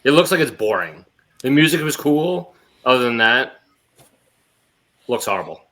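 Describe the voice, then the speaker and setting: A man's voice: mid-range voice, very animated, normal speed, fairly bright tone. A man chatting casually as a podcast panelist, with a blunt manner. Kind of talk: casual chat.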